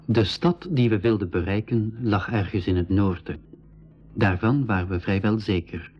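An actor narrating an adventure for a school radio drama in a measured, serious way. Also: male voice, lowish voice, steady tone, faint music behind, lightly acted. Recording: dull band-limited 1980s radio recording.

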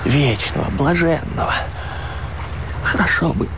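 Actor as a dreamy, rhapsodic romantic artist. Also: male voice, fairly high pitch, unhurried, very noisy, close to an everyday voice. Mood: dreamy, melancholy. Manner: rhapsodic, poetic, declaiming. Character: dreamy, brooding romantic artist wooing a woman. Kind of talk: radio drama acting.

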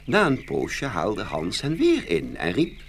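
A man narrating a fairy tale in an expressive, scripted storytelling style. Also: lowish voice, takes it slowly, a little hiss or hum.

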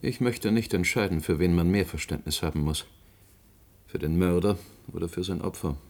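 A man playing a measured academic president in a German radio play, considered and explanatory. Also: deep voice, a little mellow, a little hiss or hum. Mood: grave. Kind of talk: German radio drama acting.

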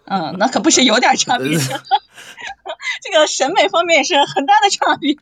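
A woman's voice: high-pitched, sing-song, moderate pace, a bit bright, no room sound, studio quality. A woman hosting a casual live voice chat in a teasing, reassuring way, full of exclamations. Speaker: host of a radio drama cast chat. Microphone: online voice chat.

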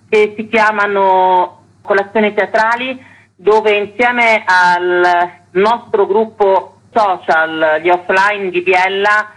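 Woman being interviewed as a festival director, mid pitch, going through the schedule item by item. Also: fairly bright tone, poor audio. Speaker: festival artistic director, guest. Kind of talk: interview answers, listing a programme.

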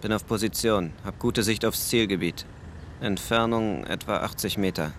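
A man's voice: on the low side, normal speed, a bit bright, a little hiss or hum, natural voice. An actor in a German radio drama playing a disciplined male police sniper, reporting in clipped phrases. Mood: controlled, focused. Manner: clipped military-style reporting.

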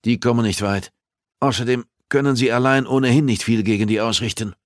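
A man's voice: mid-range voice, fairly quick, dry recording, studio quality, pitched above the performer's own voice, natural voice. A man voicing a terse, injured male investigator with a strained, pressed voice in an audiobook. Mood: terse, dry. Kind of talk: audiobook character dialogue.

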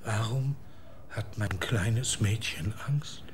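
An actor in a radio drama playing a massive, brooding man who guards a dark warehouse and speaks in a slow, hushed whisper. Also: a little hiss or hum. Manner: slow, low, whispered menace.

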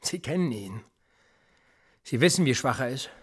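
A man's voice: mid-range voice, lively intonation, moderate pace, slightly rough. An actor playing a police interrogator in a radio play, pressing and sarcastic as he questions a suspect. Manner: pressing, sarcastic, quietly needling. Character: probing male cop, middle-aged, cold and persistent.

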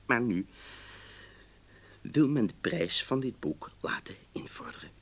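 An exasperated, courteous male customer in a radio drama. His husky, gravelly voice swings widely in pitch as he emphatically explains himself in a letter. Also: expressive, measured pace, in a whisper. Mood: earnest, aggrieved. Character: exasperated, polite male book-club customer writing letters. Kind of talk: radio play, letter read aloud.

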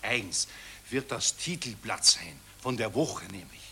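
An actor playing an obsequious, pushy court photographer in a satirical radio play, with a fawning, insistent manner. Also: male voice, fairly low pitch, animated, a little slow, pushed and bright, breathy edge, full character voice. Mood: boastful pitching. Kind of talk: satirical radio drama acting.

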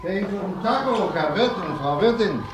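Lively, high-voiced rag peddler. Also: male voice, moderate pace, background noise. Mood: chirpy patter. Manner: sing-song peddler's patter. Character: chatty, wheedling travelling rag dealer. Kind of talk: German radio drama acting.

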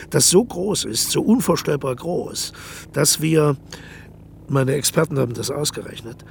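A man's voice: medium pitch, creaky, exaggerated voice. An actor playing a boastful, defiant aging male dictator in a radio play, talking in a grandiose, indignant, lecturing manner. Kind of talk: radio drama acting.

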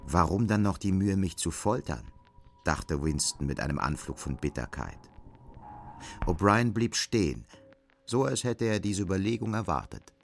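A man's voice: low-pitched, sing-song, moderate pace, creaky, a little hiss or hum. A man reading scripted literary narration as the narrator of an audio drama.

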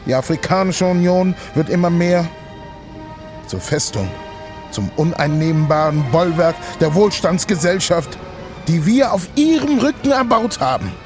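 An actor playing an earnest, impassioned sea rescuer in a theatrical monologue, speaking deliberately with dramatic pauses. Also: male voice, high-pitched, lively intonation, music in the background. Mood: solemn, impassioned. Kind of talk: theatrical stage monologue, satirical revue.

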